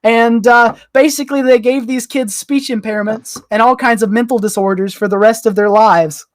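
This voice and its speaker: A young adult man chatting casually as a podcast guest, with a joking, animated manner. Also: extremely high pitch, normal speed, no echo, clean studio sound. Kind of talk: casual chat.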